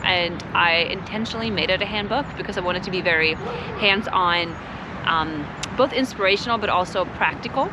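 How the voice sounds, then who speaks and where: A woman from Sweden talking casually and reflectively as an interview guest, expressive and earnest in a conversational way. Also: lowish voice, a little fast, background noise. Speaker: author and climate educator, interview guest.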